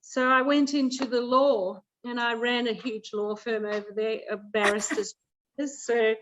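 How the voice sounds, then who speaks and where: A woman's voice: a little high, fairly even intonation, a little slow, a little hiss or hum. A middle-aged woman, chatting casually and jovially as a guest cooking coach. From Zambia, later Australia. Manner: jovial, often chuckles. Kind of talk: casual chat, personal storytelling. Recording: dull lo-fi video call audio.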